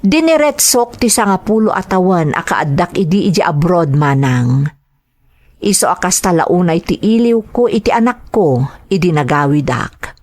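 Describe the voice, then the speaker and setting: A woman's voice: fairly low pitch, expressive, normal speed, a little mellow. A woman playing a devoted, self-sacrificing mother in a dramatized first-person story, speaking in an earnest, heartfelt way. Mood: sorrowful recollection.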